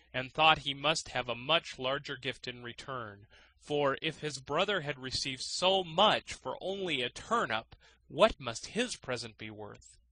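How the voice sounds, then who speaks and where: A man's voice: medium pitch, sharp tone, no room sound, rough recording. A man narrating a fairy tale as an audiobook reader, in a scripted storytelling style.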